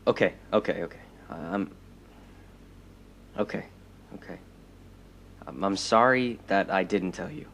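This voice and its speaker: A loyal, awkward young superhero, acted by a man with a fairly low voice. He is defensive and hesitant, in naturalistic audio drama style. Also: a bit bright, a little hiss or hum, full character voice. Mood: apologetic.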